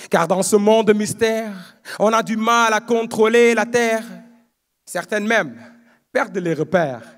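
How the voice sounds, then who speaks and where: Male slam poet, high voice, measured solemn delivery, lines trailing low. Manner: solemn, measured declamation of aphorisms, dropping low at line ends. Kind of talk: live slam performance. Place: live competition stage.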